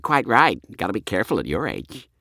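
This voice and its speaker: A man voicing a calm, cheeky and supercilious fourteen-year-old American boy in an audiobook. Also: on the low side, brisk, creaky, no echo, clean studio sound, exaggerated voice. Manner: supercilious, coolly insolent.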